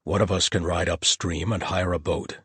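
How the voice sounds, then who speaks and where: A courteous, practical male paladin, voiced by a man reading an audiobook, in a deep, husky voice close to the narrator's own. It is recorded dry and close. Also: fairly even intonation, normal speed, fairly bright tone, no echo, exaggerated voice.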